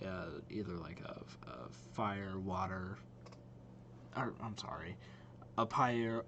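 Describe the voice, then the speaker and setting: A man hosting a reaction video, giving casual commentary as he thinks aloud in a halting way. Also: on the low side, slight background noise.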